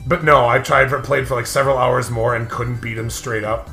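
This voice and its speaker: A man's voice: medium pitch, fairly even intonation, a little fast, vocal fry, sharp tone. A man co-hosting a let's play, chatting casually and jokingly and prodding his co-host, often breaking into laughter. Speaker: co-host of a gaming show. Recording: roomy echo, faint music behind. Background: faint music.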